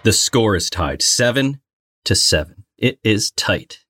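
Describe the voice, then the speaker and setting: A man's voice: fairly low pitch, lively intonation, takes it slowly, pushed and bright, breathy edge, dry recording, clean studio sound. A man co-hosting a casual trivia game, talking in a playful, exclamatory way. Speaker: co-host of a trivia game podcast.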